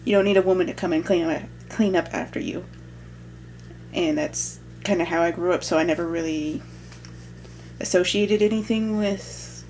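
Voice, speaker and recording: Gravelly, low-voiced young woman. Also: darkish tone, a little hiss or hum, low-quality recording. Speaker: vlogger. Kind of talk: casual chat.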